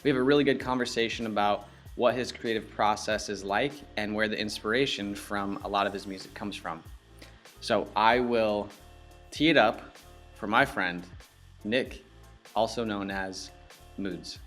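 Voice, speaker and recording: A fairly low-voiced young man hosts a podcast in an upbeat, chatty way. He tells stories loosely and laughs easily, with faint music under the opening. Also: normal speed, a bit bright. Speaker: podcast host. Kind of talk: casual podcast interview.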